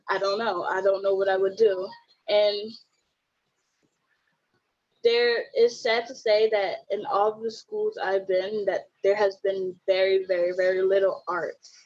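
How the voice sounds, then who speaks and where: A woman's voice: mid-range voice, fairly even intonation, measured pace. A young woman giving an informal testimonial as a student panelist on a video call. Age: young adult. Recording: muffled video call audio.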